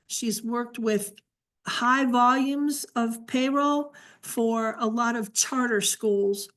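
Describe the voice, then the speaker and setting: A woman with a somewhat high-pitched voice gives an informal presentation. She explains things conversationally and fields questions as the presenter of the briefing.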